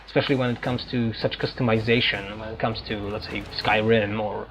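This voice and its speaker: A man who is a non-native English speaker giving casual, halting, digressive commentary as a gaming let's player. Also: lowish voice, steady tone, a bit bright, slight background noise, rough recording. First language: not English. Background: faint game music.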